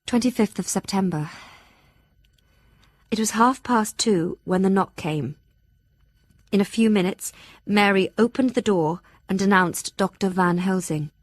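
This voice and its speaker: An actress voices a young Victorian gentlewoman reading her journal in an audio drama. She is earnest and anxious, measured and formal, with a middle pitch and a close, dry sound. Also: no echo, natural voice. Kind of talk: audio drama acting, diary narration.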